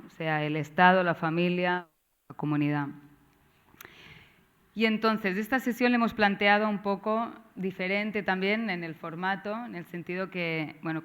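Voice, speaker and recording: A woman with a Catalan accent, whose first language is Catalan, opens a seminar session as its organizer, talking haltingly with frequent tag questions and restarts. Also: on the low side, a bit bright, clear, resonant tone. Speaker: course organizer and moderator. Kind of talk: seminar introduction.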